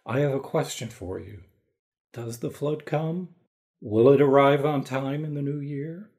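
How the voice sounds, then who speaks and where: Man acting Ra, a mellow, gravelly king. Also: medium pitch, close to an everyday voice. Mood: solemn, probing. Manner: measured, formal, regal. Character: Ra, solemn, caring divine king of ancient Egypt. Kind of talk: dramatised audio story reading.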